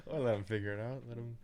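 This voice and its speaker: A man's voice: mid-range voice, a little mellow. A man chatting casually as a player in a tabletop roleplaying game.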